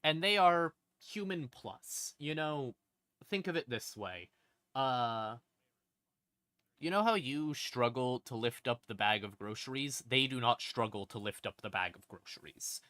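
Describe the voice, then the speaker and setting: A man streaming a casual lore explainer in an informal, sweary, conversational way, with emphatic, dramatic stresses and drawn-out words. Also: mid-range voice, expressive, bright, forceful tone, no room sound, clean studio sound. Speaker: streamer, host. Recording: music playing behind the voice. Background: creepy ambient music.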